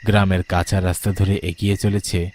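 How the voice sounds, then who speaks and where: A man's voice: low-pitched, level tone, fairly quick, noisy background. A man reads a scripted ghost story as its narrator.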